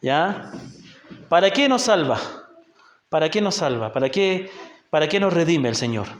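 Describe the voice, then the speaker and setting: High-voiced Chilean man teaching in an echoey church. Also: big pitch swings, unhurried. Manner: patient, asks the group questions, often checks with "¿ya?". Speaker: missionary teacher. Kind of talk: Bible class teaching. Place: church hall in a rural community.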